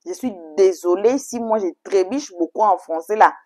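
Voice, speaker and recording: A woman's voice: mid-range voice, moderate pace, darkish tone. A woman whose first language is English, chatting to viewers as a channel host in an emphatic, repetitive way. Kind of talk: casual, chatty introduction to story videos.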